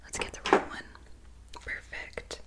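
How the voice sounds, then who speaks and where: A woman speaking in a very soft, breathy whisper, gently and soothingly reassuring as in an ASMR personal-attention roleplay. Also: a little slow. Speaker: ASMR creator playing a barber.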